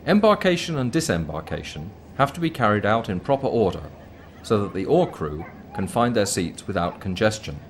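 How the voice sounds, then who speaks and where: A man's voice: on the low side, very animated, slight background noise. Man narrating formally, with widely swinging intonation. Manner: measured, formal, explanatory. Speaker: documentary narrator. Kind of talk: scripted documentary commentary, read aloud.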